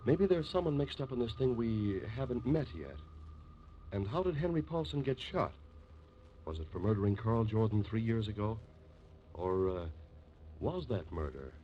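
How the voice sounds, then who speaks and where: A man's voice: on the low side, lively intonation, normal speed. A male announcer narrating a 1950s radio drama in a scripted style. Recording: dull, hissy vintage radio recording.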